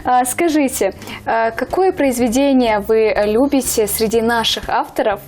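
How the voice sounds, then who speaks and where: A woman's voice: a little high, a little slow, darkish tone, slight background noise. A woman hosting a TV morning show, greeting viewers and questioning her guest in a friendly, encouraging way. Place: TV studio.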